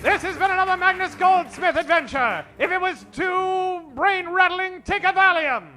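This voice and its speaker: A man's voice: very high voice, moderate pace, pushed and bright, faint music behind. A man hosting and narrating an old-time radio comedy serial with a dramatic announcer delivery. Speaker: host and narrator.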